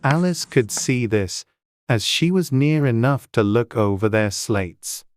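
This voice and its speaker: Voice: mid-range voice, animated, measured pace, slight background noise. An audiobook narrator reading a classic tale in a dramatized storybook style.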